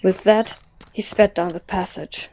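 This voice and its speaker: A woman's voice: mid-range voice, steady tone, measured pace, a little mellow. A woman giving a scripted, dramatic narration as the storyteller of an audio drama.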